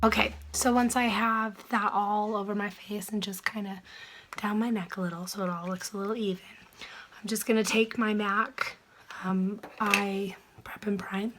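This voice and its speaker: A woman who is sick and losing her voice, chatting softly through a makeup tutorial, often dropping into a whisper. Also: mid-range voice, little pitch movement, moderate pace, a little hiss or hum. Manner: soft, chatty, trailing into whispers. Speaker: beauty vlogger.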